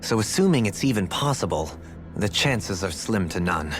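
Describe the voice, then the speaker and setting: A man's voice: on the low side, animated, moderate pace, a little hiss or hum, close to an everyday voice. An actor playing a composed, analytical male companion in a video game, precise, polite and articulate. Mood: analytical, grave.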